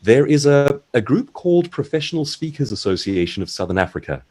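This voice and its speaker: Male seminar speaker, husky and highly animated, articulate explainer with a conversational, instructive approach. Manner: articulate, explanatory, measured phrasing. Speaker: author and speaker giving a writing seminar. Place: online video-call seminar.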